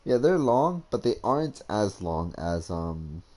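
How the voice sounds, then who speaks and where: Low-voiced man rambling over a game. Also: very animated, unhurried, a little mellow. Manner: hesitant, rambling. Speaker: gaming streamer. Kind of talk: casual game commentary. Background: faint game music.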